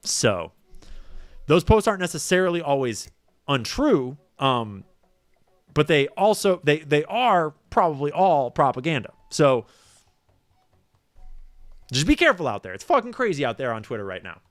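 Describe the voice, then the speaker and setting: A man's voice: on the high side, very animated, normal speed, pauses often, dry recording. A man giving casual, profane commentary to his live chat, blunt and rambling, with lots of asides.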